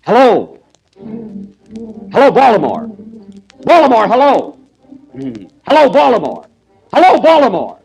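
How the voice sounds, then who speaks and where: A man as a pompous inventor with a lively voice. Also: extremely high pitch, a little slow, gravelly, pauses often, soft background music, exaggerated voice, rough recording. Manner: indignant, theatrical, exasperated. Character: fussy, pompous male inventor professor. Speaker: comedian host. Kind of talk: 1930s radio comedy acting.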